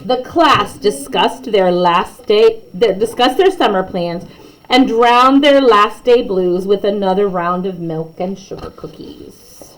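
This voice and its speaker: A woman's voice: medium pitch, takes it slowly, low-quality recording. A woman reading a children's bedtime story aloud expressively, with chatty asides to young listeners.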